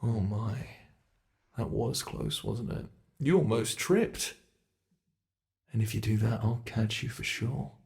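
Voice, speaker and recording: A man voicing a sadistic, playful ancient monster hunting human prey in an ASMR roleplay, taunting theatrically and slipping into breathy whispers. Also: fairly low pitch, slow pace, long pauses, darkish tone. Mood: predatory teasing. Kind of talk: ASMR roleplay, scripted monologue.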